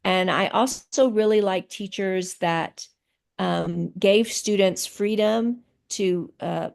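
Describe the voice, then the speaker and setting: Slow, thoughtful woman with a gravelly edge. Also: medium pitch, darkish tone. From grew up in Thailand. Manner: slow, thoughtful, with many pauses and fillers. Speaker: interviewee, teacher. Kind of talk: casual interview answers.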